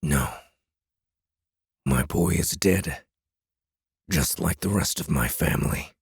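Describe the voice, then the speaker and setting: A man playing a cold, manipulative military commander and villain in an audiobook drama, calm and condescending in manner. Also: rumbling low, takes it slowly, no room sound, clean studio sound, full character voice. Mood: pained, cold. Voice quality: gravelly, husky.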